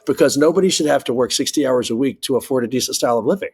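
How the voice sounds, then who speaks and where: American guy in an interview, air traffic controller type, blunt and emphatic, normal mid pitch. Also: rapid-fire, a little mellow, breathy edge. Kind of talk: candid conversational interview.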